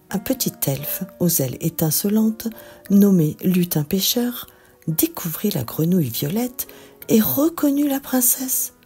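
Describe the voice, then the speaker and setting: A woman reading a scripted children's bedtime story in an expressive, deliberate narrating style. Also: on the low side, breathy edge.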